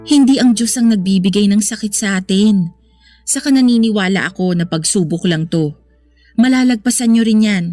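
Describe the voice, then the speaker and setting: A woman's voice: mid-range voice, normal speed, warm tone, no room sound, soft background music, lightly acted. An actress playing a caring, sensible young woman in a radio drama, speaking earnestly and reassuringly. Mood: gently firm. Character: caring, sensible young woman consoling her troubled boyfriend.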